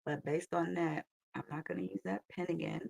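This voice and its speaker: A woman in her fifties hosting an early-morning coloring video, talking softly and unhurriedly with a raspy morning voice, thinking aloud with many fillers. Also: lowish voice, steady tone, brisk, dry recording. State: still waking up. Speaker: coloring channel host.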